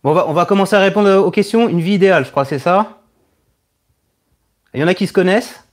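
Higher-pitched, hesitant man in his thirties. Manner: halting, hesitant, repeats words as he thinks. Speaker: host of a live Q&A stream, online video creator. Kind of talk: casual live chat answering viewers' questions.

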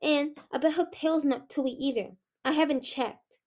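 A flock of impertinent baby dragons, piping up in high, squeaky little voices, as a woman reading aloud plays them. They chatter like small children, stumbling over words and blurting out whatever comes to mind. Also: normal speed, darkish tone. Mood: cheeky.

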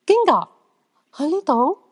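A woman's voice: sits high, big pitch swings, unhurried. A woman acting a cheeky, flirty fortune-teller in a Cantonese radio drama, teasing in colloquial Hong Kong speech. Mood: eager. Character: cheeky, flirty female fortune-teller friend, eager to see ghosts.

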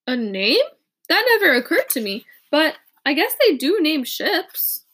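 A woman voicing an eager, intense young male inventor in an audiobook, earnest and quick to rally. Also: high voice, big pitch swings, fairly bright tone, slight background noise, pitched above the performer's own voice, full character voice. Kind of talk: audiobook character reading.

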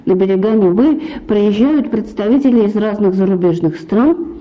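A woman speaking as an art history lecturer, in a formal, emphatic way. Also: medium pitch. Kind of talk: interview commentary on art history. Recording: slightly dull radio sound.

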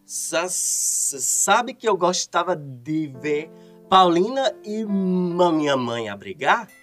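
An exasperated young husband in a radio soap. He stutters heavily and drags his words out slowly, with big swoops in pitch. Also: sing-song, a bit bright, soft background music, exaggerated voice. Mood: hesitant. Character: stammering, exasperated grown son and husband.

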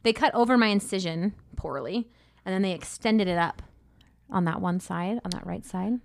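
A woman in her early thirties with plenty of vocal fry, chatting candidly as a podcast co-host. She is self-deprecating and casual, and the recording is close and dry. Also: mid-range voice, normal speed, dry recording. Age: early 30s.

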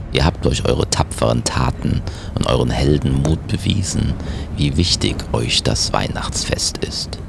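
An extremely low-voiced villain mastermind, acted by a man for an audio play, delivers grand, solemn and sentimental speeches. The voice creaks with gravel, over a clearly noisy backdrop. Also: full character voice.

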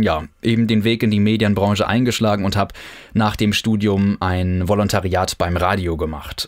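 Young male host from northern Germany, low voice, casual rambling delivery, dry studio sound. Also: dry recording. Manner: chatty, loose, many fillers and restarts. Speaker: podcast host. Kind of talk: casual podcast monologue.

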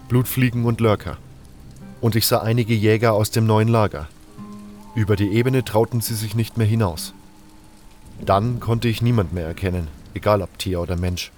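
A man with a fairly low voice narrates a fantasy audiobook. He reads scripted first-person story text over a bed of music. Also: darkish tone.

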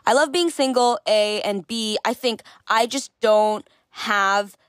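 A woman in her twenties hosting her own podcast, talking through her thoughts in a chatty, reflective way and repeating phrases for emphasis, her voice slightly hoarse. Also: fairly high pitch, slow, dry recording, clean studio sound. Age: 20s. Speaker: podcast host. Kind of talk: solo casual podcast monologue.

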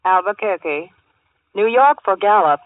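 A prim old-time phone operator, very up and down singsong, formal, tinny phone sound. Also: female voice, medium pitch, lively intonation, a little slow. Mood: brisk, polite. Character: prim, businesslike female long-distance telephone operator. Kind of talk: 1940s radio drama acting. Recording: dry, tinny old telephone-style radio recording.

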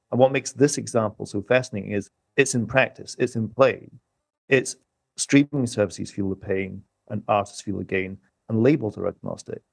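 A man speaking as an economist guest, explaining conversationally in a measured, expert way. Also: on the low side, gravelly, darkish tone, slightly rough, no room sound.

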